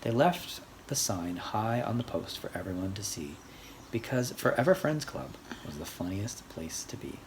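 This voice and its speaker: An adult storyteller reading a rhyming picture book aloud for children in an expressive, sing-song way. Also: low voice, moderate pace. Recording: faint background noise.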